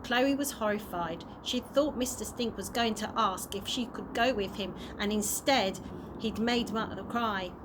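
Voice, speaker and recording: A woman reading a children's chapter book aloud as an expressive storyteller. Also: medium pitch, a little slow. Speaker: early years practitioner reading a story. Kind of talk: children's audiobook read aloud. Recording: noisy amateur home recording.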